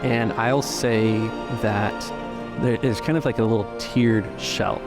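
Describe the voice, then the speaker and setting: A man acting as game master, improvising descriptions for a tabletop game in a deliberate, halting way. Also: medium pitch, fairly even intonation, loud music behind the voice.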